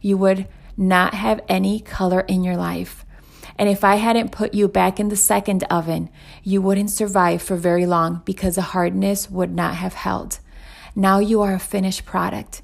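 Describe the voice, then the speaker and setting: A woman voicing a patient, gently firm male master potter in an inspirational parable, explaining in a measured way. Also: medium pitch, little pitch movement, vocal fry. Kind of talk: inspirational parable read aloud.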